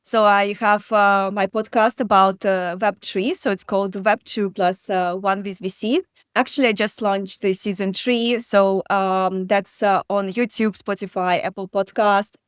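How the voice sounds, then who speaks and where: A woman's voice: medium pitch, steady tone, unhurried, smooth, no room sound, studio quality. A woman in her thirties from Belarus, speaking as a guest in an interview, talking with frequent fillers and restarts. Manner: hesitant, many fillers and restarts. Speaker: guest investor. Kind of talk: conversational interview.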